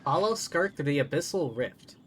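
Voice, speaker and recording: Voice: medium pitch, big pitch swings, moderate pace, slight background noise. A game streamer giving casual live commentary, reading along and reacting to the game. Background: game music.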